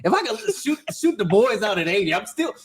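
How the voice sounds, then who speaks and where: A man's voice: sits high, big pitch swings, fairly quick, a little hiss or hum. A man in his thirties co-hosting a podcast, joking and laughing often in casual talk. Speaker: podcast co-host. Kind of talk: casual chat.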